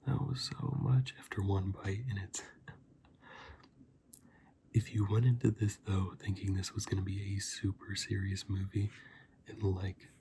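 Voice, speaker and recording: A man hosting a casual ASMR review, speaking softly and breathily in an unhurried, rambling way, often dropping into a whisper. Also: sits low, level tone, slight background noise. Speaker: host of an ASMR review channel. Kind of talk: soft-spoken ASMR film review.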